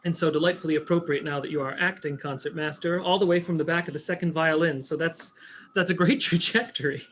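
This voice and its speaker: A man's voice: on the high side, quick pace, a bit bright. A man hosting a casual, chatty interview in an enthusiastic, effusive way. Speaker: interview host. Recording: faint background noise.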